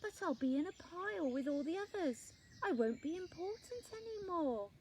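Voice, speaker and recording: A woman voicing a rude, self-important male leaf at the top of a tree, talking loudly. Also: very high-pitched, expressive, moderate pace, a little hiss or hum, voice pushed up. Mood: frightened. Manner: loud, shouting, self-important.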